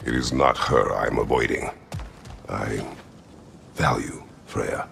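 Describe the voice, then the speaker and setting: An actor playing a stern, brooding middle-aged warrior god in a video game, terse and formal, with a very gravelly, rumbling voice. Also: male voice, a bit bright, slight background noise, full character voice. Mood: defensive, brooding. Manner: terse, formal, slow and weighty. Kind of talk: dramatic video game acting.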